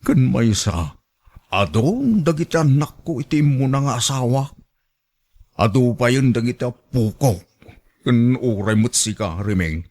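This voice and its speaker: A man's voice: mid-range voice, very animated, a little slow, darkish tone. A man playing an insistent, lovesick old grandfather in a radio drama, coaxing and sentimental. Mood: insistent, romantic. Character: elderly male suitor, pleading, insistent, lovesick grandfather.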